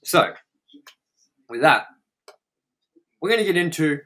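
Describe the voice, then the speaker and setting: A man's voice: a little high, sing-song, slow, pushed and bright. A man chatting casually as co-host of a film review show, blunt and sweary, repeating words for emphasis.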